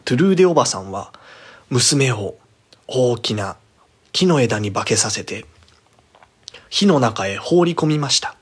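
A man tells a spooky fairy tale in a dramatic, scripted style. His voice is husky and medium in pitch, with a theatrical, often hushed delivery. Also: animated, unhurried, long pauses. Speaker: storyteller. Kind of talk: dramatic scripted horror storytelling.

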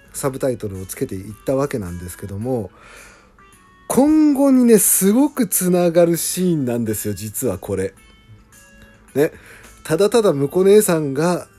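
Animated male host with a bright voice. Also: mid-range voice. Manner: enthusiastic, polite, emphatic. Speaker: writer hosting behind-the-scenes talk on an audio drama. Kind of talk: casual solo talk.

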